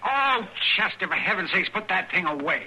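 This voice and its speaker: An actor playing a crotchety, gossipy old American frontier doctor who grumbles and needles theatrically in a radio western. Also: male voice, high-pitched, very animated, normal speed, sharp tone, husky, heavily acted, rough recording. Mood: grumbling. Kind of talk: 1950s radio western acting. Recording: old 1950s radio recording.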